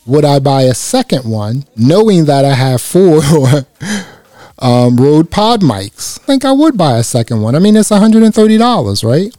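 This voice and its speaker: Raspy man, chatty gear review. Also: a little high, no echo, clean studio sound. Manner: relaxed, chatty, many "you know" fillers. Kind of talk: casual product review to camera. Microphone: close dynamic microphone through a processor.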